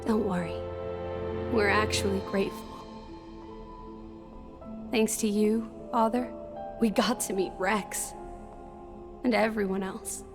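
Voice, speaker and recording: An actress playing a kind young female companion who speaks softly and sincerely. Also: medium pitch, fairly even intonation, slow, pauses often, music in the background, natural voice. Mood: grateful. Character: gentle young female blade, grateful and kind.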